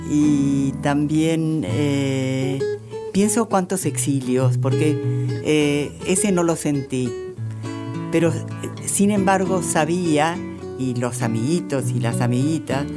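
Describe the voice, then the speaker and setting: A woman's voice: sits low, loud music behind the voice. An older woman, raised in Chile, a therapist talking as an interview guest with many hesitations. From Argentina, raised in Chile. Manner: slow, hesitant, many fillers. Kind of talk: interview conversation.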